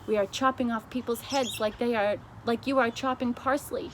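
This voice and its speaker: A woman's voice: a little high, fairly even intonation, moderate pace, slight background noise, voice pushed up, natural voice. A woman voicing a pompous male sultan speaking on the telephone in a storybook reading. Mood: boastful.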